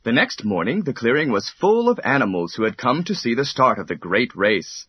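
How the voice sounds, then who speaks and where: Husky, expressive male storyteller. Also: medium pitch, moderate pace, no room sound, muffled recording. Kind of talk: scripted children's bedtime story narration.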